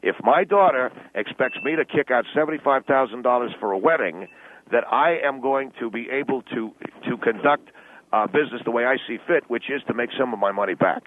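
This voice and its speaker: A man plays a brash, money-minded middle-aged father defending himself on a radio comedy call-in. He argues haltingly and keeps justifying himself. The voice comes through a thin phone line with faint noise. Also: medium pitch, close to an everyday voice. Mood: insistent. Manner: argumentative, halting, self-justifying. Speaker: radio show guest.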